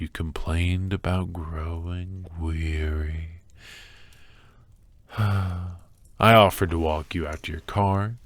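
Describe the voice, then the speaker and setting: Very deep, slow, obsessive man acting a doting captor. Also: no echo. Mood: smug, calm. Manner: soft, halting delivery with breathy whispers and sighs. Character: obsessive, doting, sinister male kidnapper coworker. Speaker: voice actor. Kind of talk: ASMR roleplay acting.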